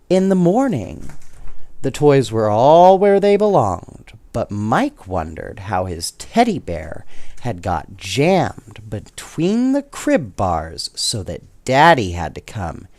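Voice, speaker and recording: Slow, expressive man reading bedtime stories. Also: mid-range voice, no echo. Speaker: bedtime story reader. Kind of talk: storybook read-aloud with casual asides.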